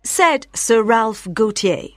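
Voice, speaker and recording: Female audiobook narrator, scripted storytelling, careful articulation, expressive pitch movement, mid-pitched, dry recording. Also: slow pace, dry recording. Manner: clearly articulated storytelling for language learners.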